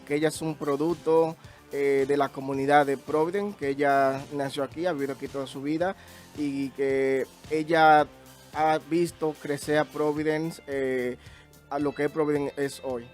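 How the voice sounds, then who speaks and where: Bilingual male talk-show host, halting. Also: fairly high pitch, level tone, a little mellow, faint music behind. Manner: switches between Spanish and English, halting. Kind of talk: bilingual interview hosting.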